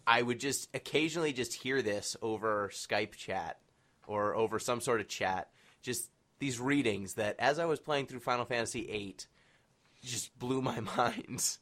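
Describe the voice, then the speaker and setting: A man's voice: medium pitch, unhurried, a bit bright. A man hosting a podcast, chatting casually with his guests in an interview. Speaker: podcast host.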